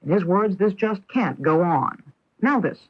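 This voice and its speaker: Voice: medium pitch, animated, a little slow, breathy edge, dull audio. A news anchor reading scripted radio news on an old 1980s broadcast.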